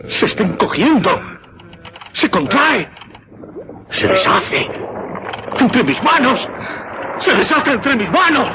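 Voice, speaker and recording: An actor playing an earnest, intense mesmerist, speaking in dramatic, halting, repeated phrases in a horror radio drama. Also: male voice, very high-pitched, big pitch swings, noisy background, exaggerated voice. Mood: horrified, panicked. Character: earnest mesmerist man, intense, increasingly horrified.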